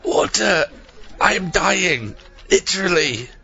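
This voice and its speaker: Man doing a high, parched, pitiful sidekick. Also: expressive, slow, gravelly, sharp tone, muffled recording, exaggerated voice. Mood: pleading. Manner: plaintive, pleading.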